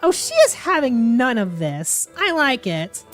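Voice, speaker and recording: A woman's voice: a little high, huge pitch swings, a little slow, soft background music. A woman streaming as a VTuber, giving wry, theatrical commentary as she plays through a game. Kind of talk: playthrough commentary with dramatic reading.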